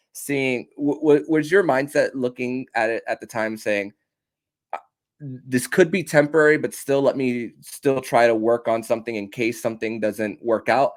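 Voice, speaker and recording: A man hosting a podcast who asks long, rambling questions full of restarts and repeated words. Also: mid-range voice. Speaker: podcast host. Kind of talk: casual podcast interview.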